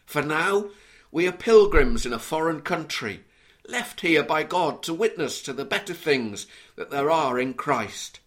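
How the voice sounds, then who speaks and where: A man from Liverpool with a mild Scouse accent, preaching an earnest, measured sermon and reading scripture aloud. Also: on the high side, expressive, fairly bright tone. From Liverpool, England.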